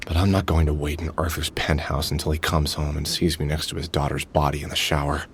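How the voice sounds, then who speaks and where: A man's voice: very deep voice, flat delivery, fairly quick, slight background noise. An actor playing a weary, sardonic middle-aged man who broods and mutters to himself in a video game. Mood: tense, weary. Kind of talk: video game voice acting, brooding inner monologue and dialogue.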